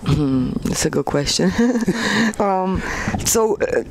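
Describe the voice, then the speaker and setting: A woman from Poland with a Polish accent, chatting casually and haltingly as a giggly radio interview guest. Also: sits low, expressive, darkish tone, slight background noise, low-quality recording. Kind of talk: casual chat. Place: radio studio.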